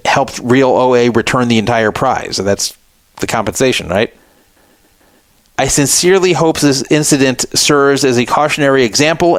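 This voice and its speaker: A man's voice: medium pitch, dry recording. Sarcastic male talk-radio host. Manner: sarcastic, scoffing at a statement he reads aloud. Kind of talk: opinionated talk radio commentary.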